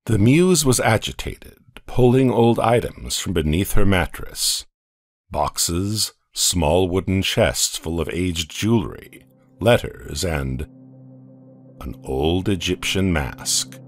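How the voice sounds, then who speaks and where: A man narrating a horror audio comic in a slow, dramatic storytelling manner. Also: low voice, lively intonation, no room sound, clean studio sound, faint music behind.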